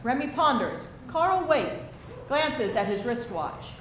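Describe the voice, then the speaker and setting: A woman's voice: on the high side, sing-song, slow pace. A woman reading stage directions as narrator at a live screenplay table read. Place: indoor live reading before an audience. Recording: very echoey live venue, faint noise.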